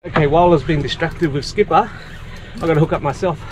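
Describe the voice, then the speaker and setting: A man's voice: fairly high pitch, quick pace. Chatty man on a noisy boat. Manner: chatty, laughs often. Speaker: fishing vlogger host. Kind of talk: casual commentary while fishing. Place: small boat on open sea, outdoors. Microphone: action camera on boat. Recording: loud engine and wind noise.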